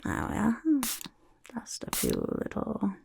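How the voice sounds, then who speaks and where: A woman voicing a chaotic, eager little goblin overlord in a soft-spoken ASMR roleplay, with a creaky, raspy whisper and a fussy, repetitive manner. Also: medium pitch, wildly swooping pitch, unhurried, noisy background, exaggerated voice. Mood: bossy, fussing. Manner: speaks of self in third person, fussy, repeats words.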